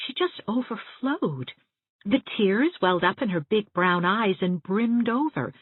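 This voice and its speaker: A woman narrates a novel in a very husky, lowish voice. Her delivery is expressive storytelling, and the recording is thin and band-limited. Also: no room sound, narrow, tinny audio. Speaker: audiobook narrator.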